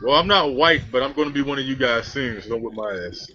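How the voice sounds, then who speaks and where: A man's voice: mid-range voice, fast, bright, forceful tone. A man co-hosting a podcast with casual, profane banter. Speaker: podcast co-host. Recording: dull lo-fi audio.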